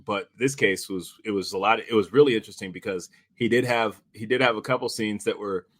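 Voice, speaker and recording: A man chatting casually about directing, speaking in a halting, rambling way and often repeating himself. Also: lowish voice, no echo. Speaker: director and podcast host. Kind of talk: casual behind-the-scenes chat.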